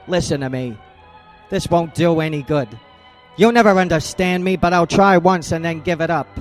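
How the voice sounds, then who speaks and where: A man's voice: fairly high pitch, moderate pace, faint music behind, close to an everyday voice. An actor playing a cold, hard-boiled male private eye in a radio drama, blunt and clipped. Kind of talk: 1940s-style radio drama acting.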